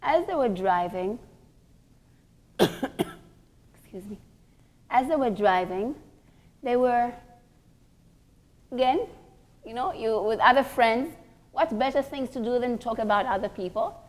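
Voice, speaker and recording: High-voiced woman giving a religious talk. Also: expressive. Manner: storytelling, deliberate, repeats phrases for emphasis. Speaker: religious teacher giving a class for women. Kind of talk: sermon-like lecture told through stories.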